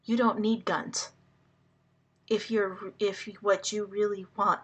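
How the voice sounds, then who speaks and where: Slow, deliberate woman speaking earnestly. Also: medium pitch, little pitch movement, slightly rough. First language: Hungarian. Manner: halting, deliberate phrasing with pauses. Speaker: vlogger addressing the public.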